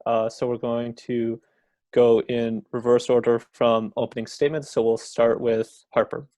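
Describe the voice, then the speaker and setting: A young man moderating a student debate in a relaxed, filler-heavy way. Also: mid-range voice, unhurried, dry recording.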